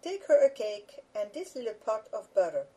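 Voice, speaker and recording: A man voicing a gentle, caring mother giving instructions to her daughter in a storybook reading. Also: high voice, very animated, moderate pace, breathy edge, pitched above the performer's own voice, heavily acted.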